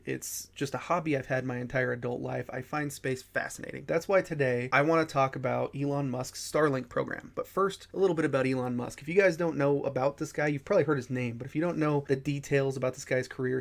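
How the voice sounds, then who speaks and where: A man's voice: medium pitch, vocal fry. A man with a head cold, hosting a casual commentary show, chatty and a bit profane. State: congested with a cold. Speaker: host.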